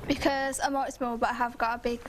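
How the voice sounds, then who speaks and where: A woman's voice: a little high, flat delivery, fairly quick, background noise. A young woman candidate giving short, hesitant answers.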